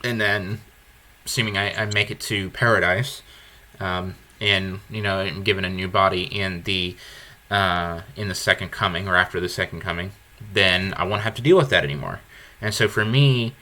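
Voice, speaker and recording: Man with a bright, forceful baritone. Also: moderate pace. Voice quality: deep low baritone. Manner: reflective, candid, restarts phrases. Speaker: podcast host. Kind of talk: earnest conversational podcast talk.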